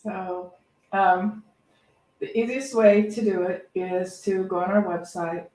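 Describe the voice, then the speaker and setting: A woman's voice: mid-range voice, steady tone, takes it slowly, darkish tone. A woman co-hosting a church service, giving casual announcements in a conversational, informal way. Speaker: service co-host, minister. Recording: roomy, distant microphone.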